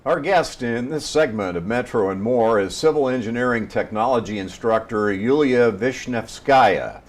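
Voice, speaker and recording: A man's voice: mid-range voice, moderate pace, faint music behind. A man hosting a television interview program, with a polished announcer's delivery as he introduces and questions a guest. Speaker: host.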